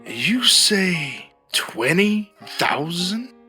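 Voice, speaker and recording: A sly Gold Rush outlaw ringleader played by a man with a bright, forceful voice. He schemes his way through a comedy audio drama, with music underneath. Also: high-pitched, very animated, very slow, heavily acted. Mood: feigned friendliness, probing.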